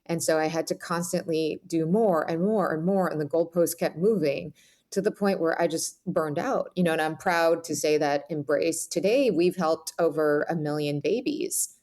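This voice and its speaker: An American woman with a slightly low voice talks as an interview guest. She explains things earnestly and reflectively, often checking in with the listener as she goes. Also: normal speed, darkish tone. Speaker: podcast guest, author.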